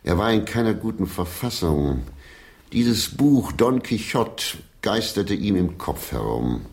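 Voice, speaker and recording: A hearty old stage player in a period radio drama, sentimental and grandiloquent. His low voice sweeps widely up and down as he declaims dramatically. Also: big pitch swings, slow pace, creaky, exaggerated voice. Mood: reminiscing, solemn.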